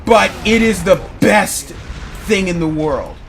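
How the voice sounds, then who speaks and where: A man's voice: sits high, expressive, takes it slowly, a bit bright. A man from Louisiana, giving casual, rambling let's play commentary. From Louisiana, United States. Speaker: gaming video host. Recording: game music clearly behind. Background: game music.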